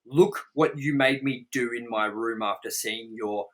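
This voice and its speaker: A man's voice: mid-range voice, unhurried. A man co-hosting a casual, opinionated film review chat, speaking in a blunt, sweary, exaggerating way. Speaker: co-host of a movie review show.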